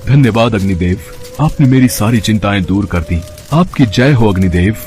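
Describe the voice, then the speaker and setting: A man playing a noble, earnest king in a devotional cartoon, speaking formally and reverently in a husky voice. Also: fairly low pitch, expressive, normal speed, a little mellow, faint music behind, close to an everyday voice. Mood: grateful. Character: noble, earnest male king longing for an heir. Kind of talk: Hindi devotional cartoon dub.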